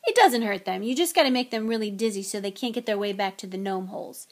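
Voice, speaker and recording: A woman's voice: mid-range voice, quick pace, lightly acted. A woman voicing a knowing young boy in a storybook, speaking in a casual, matter-of-fact way. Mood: offhand.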